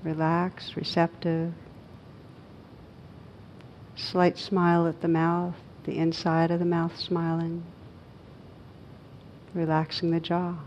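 A woman teaching a guided meditation, speaking gently in short, unhurried phrases with pauses between them. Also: fairly low pitch, completely flat delivery, slight background noise.

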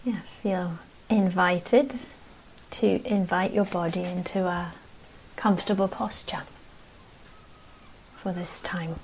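A woman meditation teacher leading a guided practice in a gentle, unhurried way, speaking in short phrases with long pauses. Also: on the low side, steady tone, a little hiss or hum, rough recording.

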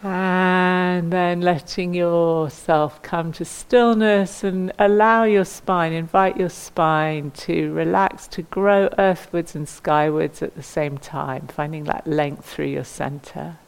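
Female meditation and movement teacher, low voice, gentle and unhurried guidance, leaves space between phrases. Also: fairly even intonation, clear, resonant tone, rough recording. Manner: gentle, unhurried, inviting, with pauses. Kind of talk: guided mindful movement instruction.

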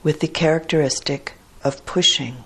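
A woman teacher guiding a meditation in a measured, deliberate way with long pauses and a husky, soft-edged voice. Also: low voice, fairly even intonation, poor audio. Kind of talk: guided meditation instruction.

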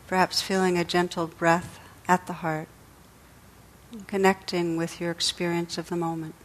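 A woman leading a guided meditation as a teacher, speaking in a gentle, soothing, unhurried way with pauses. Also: on the low side, flat delivery, poor audio.

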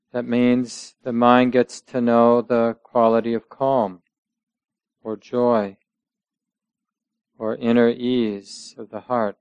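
A man teaching meditation, guiding listeners gently in measured short phrases with long pauses between them. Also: medium pitch, clear, resonant tone, no echo, highs cut off. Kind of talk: guided meditation instruction.

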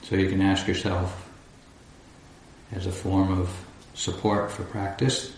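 A man guiding a meditation as a teacher, speaking calmly and deliberately in short phrases with long pauses. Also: deep voice. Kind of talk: guided meditation instruction. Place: large echoey indoor room at a retreat. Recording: roomy echo, faint background noise.

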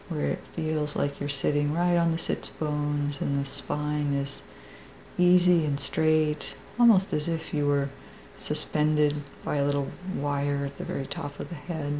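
A woman meditation teacher leading a guided meditation in a gentle, soft, creaky, unhurried voice with long pauses. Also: low voice, a little hiss or hum, low-quality recording.

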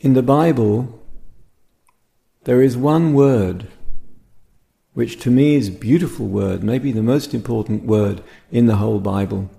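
A man guiding a meditation as a teacher, speaking gently and contemplatively in short phrases separated by pauses. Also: medium pitch, vocal fry. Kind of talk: guided meditation talk.

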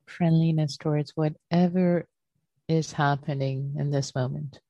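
A woman teaching a guided meditation in a gentle, unhurried manner, leaving long pauses between short phrases. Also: low voice, fairly even intonation. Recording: muffled, roomy sound.

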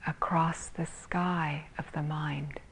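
A woman teaching meditation in a soft, breathy, husky voice, calmly and gently guiding with long pauses between phrases. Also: low-pitched, slight background noise. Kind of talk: guided meditation.

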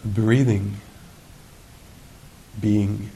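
Male meditation teacher, lowish creaky voice, unhurried and musing, live recording with faint rumbling noise. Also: flat delivery, rough recording. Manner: unhurried, musing, gentle questioning with long pauses. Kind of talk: guided meditation at a retreat.

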